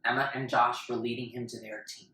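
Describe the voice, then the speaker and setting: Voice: mid-range voice, level tone, fairly quick, bright, forceful tone. A narrator reading a children's story aloud in a dramatic storytelling style. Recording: roomy, far from the mic.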